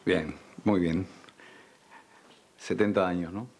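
Older man, Argentine accent, low-pitched, veteran radio announcer, measured polished delivery, studio reminiscence. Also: fairly even intonation, darkish tone, breathy edge. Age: older, around sixties. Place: dark television studio.

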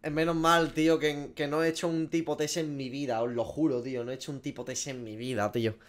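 A man's voice: a little high, level tone, fast talker. Casual young Spanish guy streaming. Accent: Spanish from Spain. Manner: informal, slangy and sweary, thinking aloud. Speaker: gaming streamer. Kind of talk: casual reaction commentary. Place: small home room.

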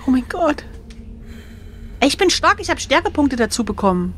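A woman's voice: high voice, sing-song, normal speed, a little hiss or hum. A woman chatting casually and cheekily as the player in an adventure gamebook. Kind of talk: casual playful chat.

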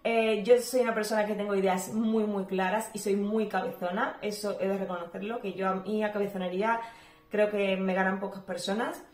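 A woman's voice: mid-range voice, fairly even intonation, a little fast, a little mellow. A woman, chatting casually to camera in a home Q&A vlog, talkative and full of fillers. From Cuba. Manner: chatty, talks a lot, frequent fillers. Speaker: home vlogger. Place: bedroom at home, indoors. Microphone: camera at desk distance. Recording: echoey room with faint music.

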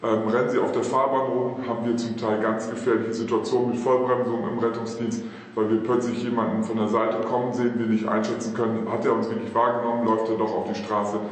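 A reverberant meeting room surrounds a middle-aged man with a medium-pitched voice. He explains rules in the manner of a practical demonstration, and the room echoes around him. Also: level tone, fast. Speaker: emergency service chief explaining rules.

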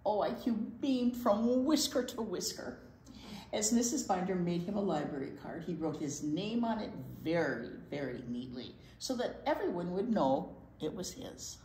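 An older woman reading a picture book aloud to children in an expressive way, with asides to young listeners. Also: lowish voice, moderate pace, slight background noise. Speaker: library storytime reader. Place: indoor library storytime set. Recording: roomy indoor sound.

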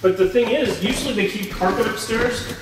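A man's voice: high-pitched, a little fast. A man in his forties, a realtor walking through empty rooms and talking casually and a bit hesitantly as he shows the house. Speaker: realtor giving a house tour. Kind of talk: casual walk-through tour talk. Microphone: camera mic at a few metres. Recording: echoey bare rooms, distant mic, noisy.

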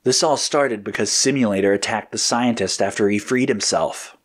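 A man playing a calm, evasive agent in an audio story, speaking casually and knowingly. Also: on the low side, normal speed, close to an everyday voice. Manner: casual, nonchalant. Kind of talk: acted audio story dialogue.

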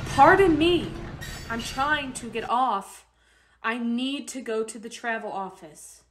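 A woman's voice: on the high side, slow pace, a little hiss or hum. A woman acting a determined, anxious young refugee mother in a radio play, speaking earnestly. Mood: anxious, pleading.

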